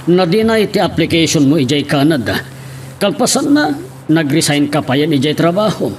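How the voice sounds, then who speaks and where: A man's voice: on the high side, very animated, normal speed, a little hiss or hum. A man playing a concerned older father in an amateur Ilocano family drama. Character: concerned older father questioning his grown child's plans. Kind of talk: Ilocano radio-style family drama acting.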